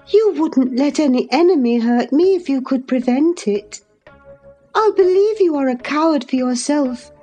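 A haughty young miss, really high voice, scolding and impatient, audiobook drama. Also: female voice, mellow. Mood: alarmed, earnest. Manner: emphatic, scolding, imperious. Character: indignant, scornful young lady, passionate and impatient.

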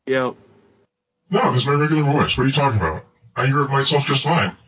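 A man's voice: medium pitch, expressive, a little fast, a bit bright. A man co-hosting a gaming podcast, teasing and joking in casual banter. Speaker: podcast co-host. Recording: lo-fi with faint noise.

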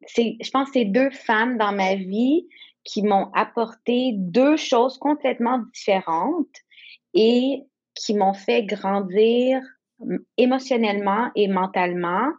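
Slow-speaking woman, native English speaker. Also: a little high, darkish tone, muffled recording. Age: 30s. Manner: reflective, searching for words. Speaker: guest entrepreneur. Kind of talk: candid conversational interview.

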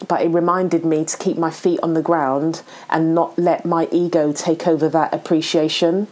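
A woman's voice: low voice, flat delivery, normal speed, a little mellow. A woman hosting an interview, speaking in a reflective, earnest and encouraging way. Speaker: podcast host. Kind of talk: casual interview conversation.

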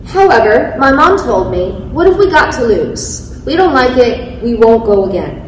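A woman in her thirties reads prepared remarks to a crowd, eager and a little self-conscious. Her voice sits slightly high. The sound comes from a large, reverberant hall with faint ambience. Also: very animated, moderate pace, a little hiss or hum. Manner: eager, apologetic, laughs at herself. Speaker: panelist, athlete speaker. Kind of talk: reading prepared remarks on a panel. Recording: echoey hall, mic at a distance.

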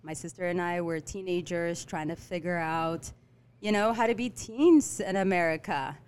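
A middle-aged woman from Eritrea who grew up in Ethiopia, a restaurant owner and guest, telling her story casually with many fillers and pauses. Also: on the low side, darkish tone, clear, resonant tone. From Eritrea, raised in Ethiopia. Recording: faint background noise.

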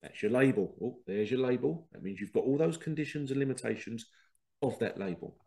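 A man's voice: medium pitch, a little fast, vocal fry, dark tone. A man with a head cold and a congested voice, hosting a rambling spiritual talk and often acting out quoted exchanges. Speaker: podcast host. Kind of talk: casual spiritual monologue.

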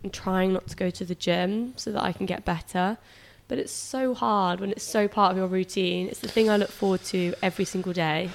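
A woman's voice: mid-range voice, steady tone, brisk, vocal fry, hardly any pauses, slight background noise. Bunged-up woman with a cold. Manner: chatty, teasing, laughs often. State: congested with a cold. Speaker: podcast co-host; personal trainer. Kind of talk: casual chat.

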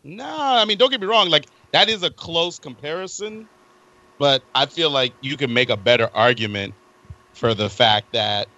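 A man in a casual group chat who argues his point step by step, in a halting way. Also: mid-range voice, sharp tone, dry recording. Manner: halting, lays out arguments step by step. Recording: dull lo-fi recording.

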